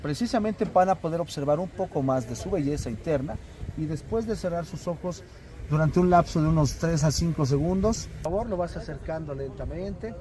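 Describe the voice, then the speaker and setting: A man's voice: on the high side, a little fast, dark tone, poor audio. A man working as a tour guide, giving a salesy, theatrical explanation outdoors at a tourist site.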